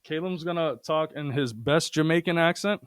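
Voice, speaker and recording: A man's voice: fairly high pitch, moderate pace, smooth, no echo, studio quality. A man hosting a casual comedy podcast, bantering playfully and swearing freely. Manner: playful, profane. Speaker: podcast host.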